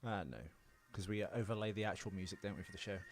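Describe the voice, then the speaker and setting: A man's voice: lowish voice, fairly even intonation, fast. A man co-hosting a casual movie podcast, bantering with dry, teasing remarks and some swearing. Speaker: podcast co-host.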